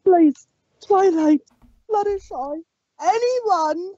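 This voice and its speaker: High childlike pony mare, pleading and stammering, read by a woman. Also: drawn-out words, mellow, no room sound, muffled recording, heavily acted. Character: bubbly pink female pony, now distraught. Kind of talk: fanfic read-aloud on stream.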